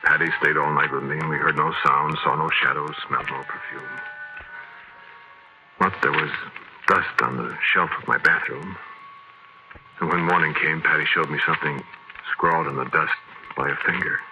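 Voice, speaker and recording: An ordinary haunted man in an old radio horror play. His voice sits very low, and he tells his story in a hesitant, brooding way, doubtful yet uneasy. Clear static runs through the aged broadcast recording. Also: steady tone, moderate pace, long pauses. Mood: brooding narration. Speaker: narrator and lead actor. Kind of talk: 1940s radio horror acting, first-person narration.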